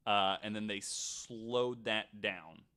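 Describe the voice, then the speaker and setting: Low-voiced man telling stories casually. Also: takes it slowly, pushed and bright. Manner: sarcastic joking asides, casual swearing. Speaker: podcast storyteller on a panel. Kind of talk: casual chat retelling researched stories.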